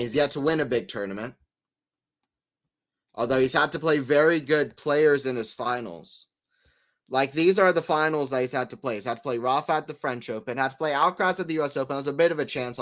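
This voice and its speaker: Male watchalong commentator, mid-range voice, relaxed chat between points that jumps into excited calls. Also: mid-range voice, a little fast. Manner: excitable on rallies, rambling and self-mocking between points. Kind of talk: live play-by-play and casual chat.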